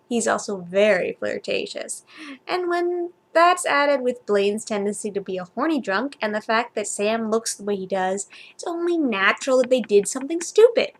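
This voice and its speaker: High-voiced woman reading aloud casually. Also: fairly bright tone. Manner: informal, stumbles over words while reading. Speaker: vlogger reading fan fiction aloud. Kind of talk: casual chat and informal story reading.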